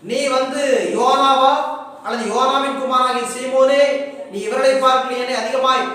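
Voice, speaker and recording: High-pitched preacher man in echoing hall. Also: flat delivery, moderate pace, pushed and bright. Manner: exhorting, emphatic, repetitive rhetorical questioning. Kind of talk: fervent sermon to a congregation. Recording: echoey hall, distant mic, music behind.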